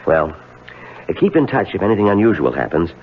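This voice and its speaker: Grave, deep-voiced radio drama doctor. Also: male voice, big pitch swings. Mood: measured. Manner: measured, deliberate, professional. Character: grave, careful male family doctor. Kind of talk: 1970s radio mystery drama acting. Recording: thin, lo-fi, hissy old radio recording.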